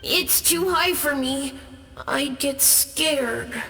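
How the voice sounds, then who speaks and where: Shy little boy ghost, high bright voice, sorry about everything, anime game, music behind. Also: extremely slow, creaky, exaggerated voice. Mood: timid, apologetic. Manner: meek, apologetic. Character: timid, innocent ghost of a young boy.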